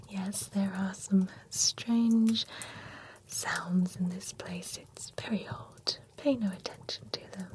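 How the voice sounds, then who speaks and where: A woman's voice: mid-range voice, steady tone, noisy background. A woman whispering as a vampire who runs a spooky beauty spa, in an ASMR roleplay. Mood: soothing. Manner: soft, unhurried whisper throughout.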